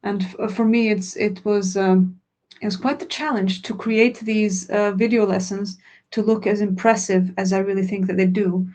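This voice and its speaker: A woman whose native language is Croatian, explaining her work in a sincere, straightforward way during a webinar Q&A. She speaks at a middle pitch, as a teacher walking through her answers. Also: fairly even intonation, normal speed, darkish tone. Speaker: language teacher and product founder.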